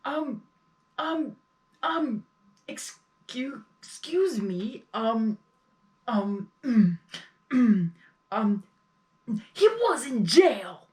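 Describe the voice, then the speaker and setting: A woman's voice: on the high side, sing-song, drawn-out words, long pauses, a little mellow. A woman giving casual live reaction commentary as host of a reaction video.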